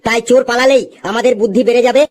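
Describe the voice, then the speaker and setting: Cheeky, quick cartoon schoolboy voiced by a woman. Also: fairly high pitch, steady tone, darkish tone, natural voice. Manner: matter-of-fact, cheeky answering back. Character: cheeky, literal-minded schoolboy pupil in a comedy cartoon. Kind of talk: cartoon comedy dialogue.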